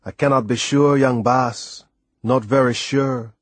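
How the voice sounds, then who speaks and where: A man performs an old West Indian seaman for an audiobook, pitched up and hearty. He speaks in dialect with emphatic, deliberate phrasing and a reassuring temper. The recording is clean and dry. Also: breathy edge, no echo, natural voice. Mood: grave, careful. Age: older. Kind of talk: audiobook character voice.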